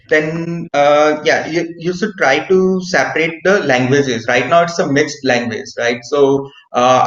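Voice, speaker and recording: Man on a roomy, dull video call. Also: mid-range voice. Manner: hesitant, many "uh" fillers, asks "right?" often. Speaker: meeting participant giving feedback. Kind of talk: online meeting feedback.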